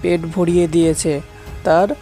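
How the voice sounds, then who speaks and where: A woman's voice: fairly low pitch, flat delivery, moderate pace, warm tone, soft background music. A woman narrating a scripted children's fable as the storyteller.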